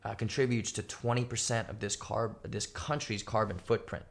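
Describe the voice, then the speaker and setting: A man's voice: lowish voice, fairly even intonation, moderate pace, vocal fry. A man answering interview questions methodically, laying out points one by one with many fillers. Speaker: guest, company founder.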